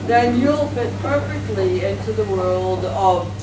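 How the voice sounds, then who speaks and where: A woman's voice: medium pitch, slow pace, darkish tone. A woman narrating a live radio play as compere, in a theatrical, declamatory manner. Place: convention hall before an audience. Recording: echoey, noisy live hall, distant mic.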